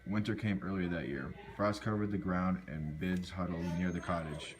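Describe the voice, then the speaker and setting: A man with a low voice narrates a folk tale from a script. He reads it out as a storyteller, now and then tripping on a word and correcting himself. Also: moderate pace, crowd noise. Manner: stumbles and corrects words while reading.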